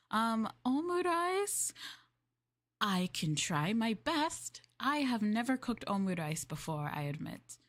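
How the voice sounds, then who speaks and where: Young woman streamer, maid roleplay, slightly low voice, polite formal phrasing, soft giggly asides. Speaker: VTuber streamer. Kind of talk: maid roleplay livestream chatting with chat.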